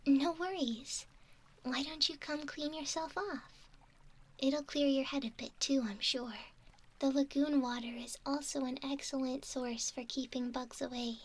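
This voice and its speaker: High, soft young mermaid, acted by a woman. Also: steady tone, measured pace. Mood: soothing, caring. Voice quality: soft, often whispery. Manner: soothing, politely apologetic. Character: gentle, curious young mermaid, doting and reassuring. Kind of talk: ASMR roleplay.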